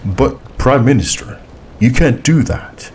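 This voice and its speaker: A man's voice: mid-range voice, expressive, slow pace, darkish tone, slight background noise, voice pushed up. A man voicing a stiff, protesting police inspector in an audiobook, formal in manner. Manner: formal and objecting. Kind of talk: audiobook character dialogue.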